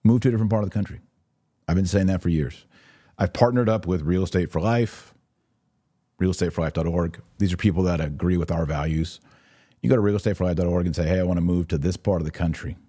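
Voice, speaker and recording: Male podcast commentator: very low, mellow voice, conversational but emphatic delivery. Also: fast talker, slightly rough, no room sound, clean studio sound. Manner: conversational, emphatic, acts out imagined exchanges. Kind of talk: casual religious commentary, answering listener questions.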